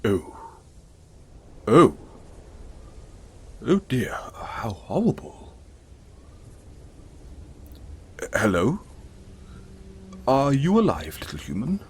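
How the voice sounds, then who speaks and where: Man acting as a doting centaur, audio roleplay, unhurried pace, soothing and apologetic, noticeable background noise. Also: medium pitch, huge pitch swings, darkish tone. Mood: worried, hesitant. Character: gentle, caring, self-conscious pacifist male centaur.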